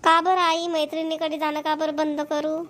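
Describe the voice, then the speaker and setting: Woman doing a stubborn college girl, kinda playful, real high voice. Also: level tone, moderate pace, a bit bright. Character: young woman college student, carefree and stubborn.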